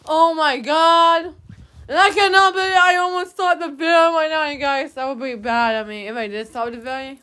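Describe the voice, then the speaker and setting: A woman's voice: high-pitched, fairly even intonation, moderate pace, sharp tone. A young woman vlogging casually from a small room into a propped-up phone, rambling with lots of fillers and a sore throat. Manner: rambling, repeats herself, many fillers. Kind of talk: casual rambling vlog to viewers. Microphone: phone camera propped nearby.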